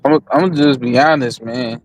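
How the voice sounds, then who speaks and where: A man's voice: mid-range voice, unhurried, poor audio. A man on a livestream panel talking casually and profanely, blunt and skeptical.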